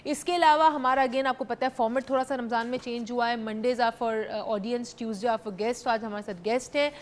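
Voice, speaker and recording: Young pakistani-accented woman, tv talk host, bit high voice, faint hiss behind, chatty. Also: fairly even intonation, normal speed. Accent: Pakistani Urdu, mixing in English. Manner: chatty, mixing Urdu and English freely. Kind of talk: live call-in talk show hosting. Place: TV studio.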